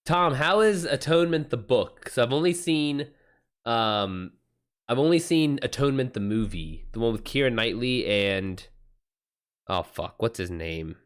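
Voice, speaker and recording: A man's voice: medium pitch, expressive, measured pace. An American man hosting a livestream, talking casually with viewers in an informal, slangy way and swearing freely. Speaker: livestream host.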